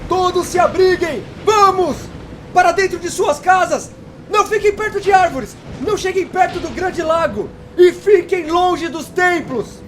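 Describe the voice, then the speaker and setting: An actor playing a kind, brave Aztec village hero in an audio drama, earnest and dramatic. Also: male voice, extremely high pitch, unhurried, slight background noise. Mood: urgent, shouting orders.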